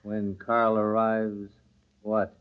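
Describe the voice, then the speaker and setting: An actor playing a coldly possessive, menacing wronged husband in an old radio thriller, speaking deliberately and ominously. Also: male voice, fairly low pitch, monotone, smooth. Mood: cold suspicion. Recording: thin, phone-like old radio recording.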